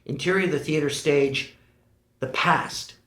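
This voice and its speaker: A man narrating screenplay directions at a table read, measured and matter-of-fact. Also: fairly high pitch, pushed and bright. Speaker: narrator reading stage directions. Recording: roomy echo.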